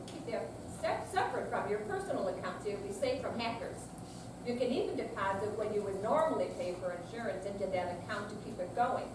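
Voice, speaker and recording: A woman's voice: mid-range voice, close to an everyday voice. A woman playing a worried, firm grown daughter of elderly parents in a staged reading. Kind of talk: staged readers theater reading. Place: senior center stage reading, far from mic. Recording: echoey noisy hall, distant mic.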